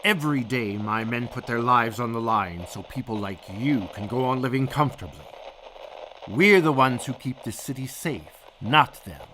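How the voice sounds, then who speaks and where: A man performs a stern police commissioner in old-time radio style. He is indignant and scolding, with an authoritative middle-pitched voice. There is slight noise in the recording. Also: lively intonation, normal speed, pushed and bright, poor audio. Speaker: police commissioner, phone-in caller. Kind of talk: 1940s radio drama acting.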